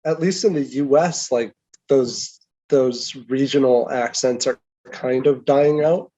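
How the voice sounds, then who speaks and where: A man's voice: medium pitch, steady tone, slow, dark tone, muffled recording. A man chatting casually on a podcast, rambling and hedging with lots of filler words. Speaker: podcast co-host. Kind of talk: casual chat.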